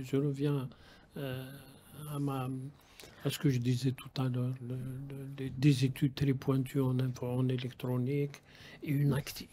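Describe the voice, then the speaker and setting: Male radio host, warm dark tone, mid pitch, long halting questions, frequent restarts.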